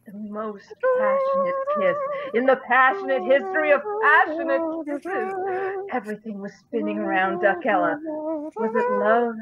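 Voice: sits high, very animated, normal speed, phone-quality sound, over a noisy crowd. A performer playing an overwrought romance narrator, melodramatic, in a comic dub.

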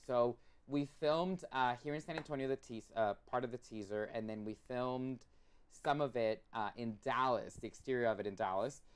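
Man, young adult, from Puerto Rico, eager, chatty, video call, medium pitch. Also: moderate pace, clean tone. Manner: eager, talkative, many restarts and fillers. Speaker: documentary filmmaker guest. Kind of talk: casual interview chat. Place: home room full of collectibles. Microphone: video call webcam.

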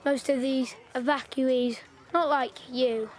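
A woman's voice: high voice, steady tone, takes it slowly. An actress playing a chatty local village girl in wartime, earnest and gossipy as she chimes in. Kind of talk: audio drama acting.

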